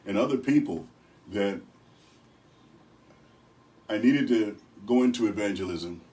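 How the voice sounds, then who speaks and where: An older man from Rochester, New York with a deep voice, speaking as an evangelist guest in a radio studio, telling stories with good humor. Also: expressive, measured pace, warm tone. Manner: good-humored, rambling storyteller. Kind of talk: radio interview. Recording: distant from the mic.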